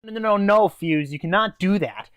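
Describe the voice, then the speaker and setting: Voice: medium pitch, expressive, normal speed, smooth, no echo. A reviewer hosting a scripted comic review, playing the exasperated straight man. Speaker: host, anime reviewer.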